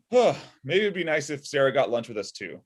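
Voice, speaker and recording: Exasperated inventor boss played by a man, expressive pitch swings, conversational sitcom script read on video call. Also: unhurried, slightly rough. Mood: weary. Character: exasperated male inventor, boss of his robot. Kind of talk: table read of comedy script. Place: indoor room on a video call.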